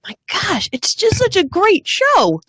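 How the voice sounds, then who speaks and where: A woman co-hosting a TV recap podcast, chatty and excitable, sounding stuffy from a cold. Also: no room sound. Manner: chatty and excitable, quick bursts of high pitch. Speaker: podcast co-host. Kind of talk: casual TV recap chat.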